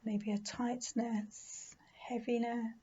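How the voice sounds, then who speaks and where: A woman leading a guided meditation in a gentle, soothing, unhurried manner with pauses. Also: a little high, flat delivery, husky, dull audio. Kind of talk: guided body scan meditation.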